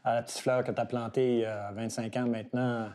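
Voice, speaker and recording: A man interviewing a guest in a relaxed, encouraging, chatty way. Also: medium pitch, a little fast. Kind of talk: casual interview conversation.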